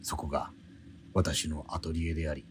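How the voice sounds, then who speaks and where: A man's voice: very deep voice, little pitch movement, moderate pace, slight background noise. An actor playing a poor, struggling male painter in a radio drama, narrating his days and muttering wry, self-mocking asides to himself. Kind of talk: radio drama inner monologue.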